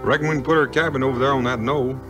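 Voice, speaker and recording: A man plays an easygoing frontier hero in a 1950s audio adventure. He has a medium-pitched Southern drawl, folksy and honest. Music plays faintly behind him on the old record. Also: fairly even intonation, rapid-fire, natural voice.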